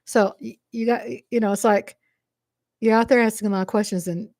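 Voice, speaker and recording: A woman's voice: a little high, steady tone, fairly quick, darkish tone, dry recording. A woman with a Texan accent speaking straight to her viewers, passionate and emphatic, and she repeats phrases for stress. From Texas. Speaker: vlogger answering a commenter. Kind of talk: impassioned direct-to-camera monologue.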